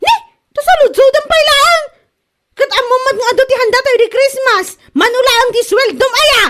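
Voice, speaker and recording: Woman acting a high-voiced, carefree Ilocano wife. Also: normal speed, a bit bright, slight background noise. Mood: defensive. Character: carefree spendthrift wife Anita, unbothered by scolding. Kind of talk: comedy radio drama acting.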